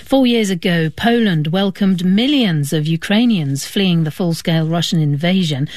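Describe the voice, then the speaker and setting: A woman's voice: on the low side, normal speed, a little mellow, dry recording. A woman presenting a news programme, interviewing guests and introducing reports. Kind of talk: broadcast interview and news links.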